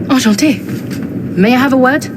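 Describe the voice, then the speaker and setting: A woman's voice: high-pitched, normal speed, background noise, natural voice. An actress playing an earnest woman, a thorough logistics partner, in a video game cutscene. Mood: composed.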